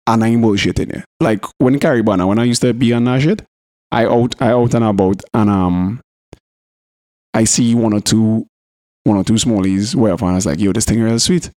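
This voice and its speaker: A man with a Trinidadian accent co-hosting a podcast, bantering in casual slang and telling stories in an animated, coarse, sweary way. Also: lowish voice, a little fast, dry recording, clean studio sound. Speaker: podcast co-host.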